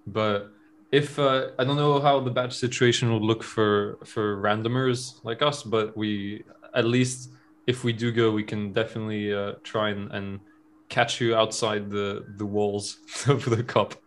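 A man hosting a casual podcast interview. He talks haltingly, laughs often and restarts his sentences a lot. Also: lowish voice, steady tone, a little hiss or hum. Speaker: podcast host.

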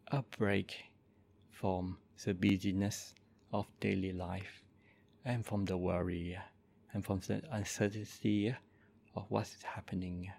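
A Buddhist monk in his forties from Malaysia, speaking softly and calmly as he introduces and leads a guided meditation. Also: lowish voice, creaky, slightly rough. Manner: slow, soft-spoken and calm, with gentle pauses.

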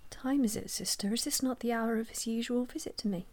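A woman's voice: fairly high pitch, brisk, a little mellow, lightly acted. An actress playing a sick young mother, whispery and breathless. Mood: weak. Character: frail sick young mother, feverish and longing. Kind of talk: radio drama acting.

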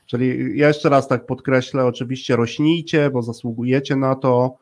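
A man's voice: mid-range voice, fairly even intonation, normal speed, a little mellow. This is a male podcast host with a mid-range voice. He talks in a conversational interview style, wordy and affirming toward the people he is speaking with.

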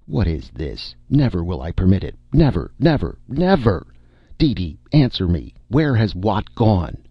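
A domineering male film director, as a man narrator plays him, bellows his demands in an imperious, exasperated rage. The voice is gravelly and husky and leaps around wildly, in a storybook reading on a dull, dry recording. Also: low voice, very animated, a little slow, no room sound, pitched above the performer's own voice, full character voice. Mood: outraged, roaring.

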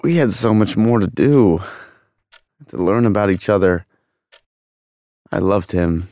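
A man's voice: on the low side, expressive, measured pace, warm tone, no echo. A man playing a wounded, dazed former movie heartthrob in an audio drama, dreamy and faltering with boyish pleading. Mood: wistful. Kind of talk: dramatic audio play dialogue.

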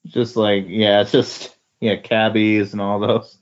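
A man's voice: fairly low pitch, level tone, slow pace. A man chatting casually about comic books as a podcast co-host, in a hesitant, agreeable way. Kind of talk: casual comic book chat. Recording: dull, lo-fi audio.

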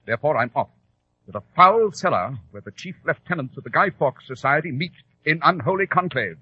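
An actor playing an eloquent English gentleman detective in 1940s radio drama, with a British accent and precise, formal diction. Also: on the high side, animated, fairly quick, close to an everyday voice. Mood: confident, urgent. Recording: muffled vintage radio broadcast.

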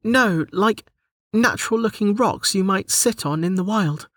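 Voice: mid-range voice, measured pace, a bit bright, no room sound, clean studio sound, pitched above the performer's own voice. A narrator voicing a young female dungeon spirit who is chatty, bossy and playful, speaking casually in an audiobook story. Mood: casual, unsure. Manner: casual, hesitant, mock-stern.

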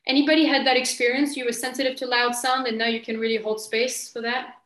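A woman leading a group training session, speaking softly and letting her phrases trail off. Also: a little high, flat delivery, quick pace, echoey room. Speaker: training facilitator.

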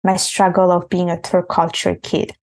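A young woman raised in Italy talks through her thoughts as a podcast guest. Her voice sits a little low, and she speaks earnestly and reflectively. She often circles back and restarts sentences as she thinks. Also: completely flat delivery, normal speed, a little mellow. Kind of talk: casual interview talk.

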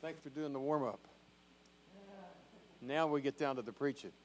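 A man's voice: mid-range voice, moderate pace, slight background noise. A man, a church elder giving a short, plainspoken, joking sermon into a handheld microphone. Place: church sanctuary. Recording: long reverb of a church hall.

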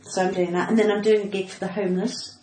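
A woman's voice: medium pitch, level tone, fast talker, darkish tone. A woman with a cut-glass English accent chatting casually and wryly as a comedian being interviewed. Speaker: stand-up comedian guest. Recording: echoey room with clicks and background noise.

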